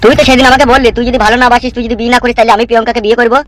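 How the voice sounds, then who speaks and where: Guy putting on blustery village man cartoon voice, talks crazy fast and high, faint music behind. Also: flat delivery, a bit bright. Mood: aggrieved. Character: brash, fast-talking village men of a comedy cartoon. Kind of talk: comedy cartoon dubbing.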